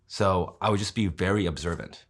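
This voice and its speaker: A man's voice: low voice, fairly quick. A man, telling his own story in an interview in a conversational way, often using fillers and tag questions. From Kansas City, Missouri, USA.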